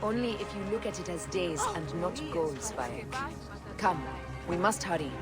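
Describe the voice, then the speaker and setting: A woman's voice: fairly low pitch, animated, unhurried. Fierce, vengeful woman warrior. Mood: grim, urgent. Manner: curt, commanding, intense. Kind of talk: dramatic video game acting. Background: background music.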